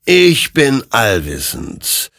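A man voicing an ancient, menacing spectral rider made of burning souls, speaking in solemn, accusing pronouncements in a dark fantasy audiobook. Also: mid-range voice, huge pitch swings, extremely slow, no room sound, studio quality, voice pushed up, heavily acted. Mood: solemn, commanding.

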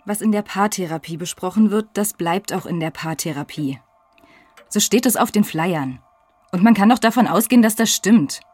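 A woman playing a brisk, evasive wife in a comic audio drama, matter-of-fact and self-justifying. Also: medium pitch, a little mellow, slightly rough, soft background music, natural voice. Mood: brisk, defensive. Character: brisk, evasive woman defending her affair.

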